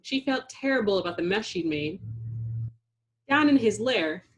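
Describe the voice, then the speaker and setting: A woman reading a children's storybook aloud in an expressive narrating style. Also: medium pitch, measured pace, low-quality recording. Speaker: storybook reader.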